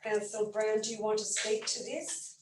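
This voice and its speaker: A woman chairing a council meeting as mayor, running through agenda items in a brisk, businesslike way, her voice a little croaky. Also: mid-range voice, fairly even intonation, darkish tone. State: slightly croaky voice. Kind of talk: formal meeting procedure. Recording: echoey room, far from mic, faint noise.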